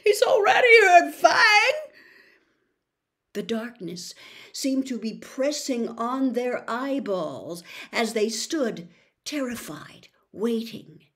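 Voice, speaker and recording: A woman narrating a storybook as its reader, with an expressive, suspenseful delivery. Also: medium pitch. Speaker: audiobook reader.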